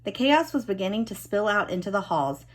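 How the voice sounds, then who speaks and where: A woman reading a children's storybook expressively, with dramatic emphasis and drawn-out key words. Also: mid-range voice, sing-song. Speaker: storybook reader.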